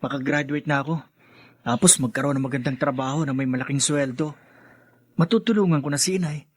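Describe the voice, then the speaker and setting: A man's voice: mid-range voice, brisk, husky, dry recording, lightly acted. An actor playing an earnest, hesitant teenage boy from a poor family in a Filipino radio drama, with a Filipino accent. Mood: respectful, wistful. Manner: hesitant, respectful.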